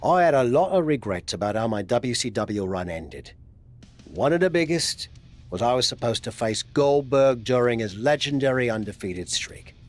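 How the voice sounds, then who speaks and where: A man acts out a veteran wrestler turned mentor, middle-aged and proud. His delivery is animated, frank and teasing, swinging freely in pitch. It is video game cutscene drama with faint music underneath. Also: moderate pace, natural voice. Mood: determined, persuading. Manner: frank, coaxing, wry.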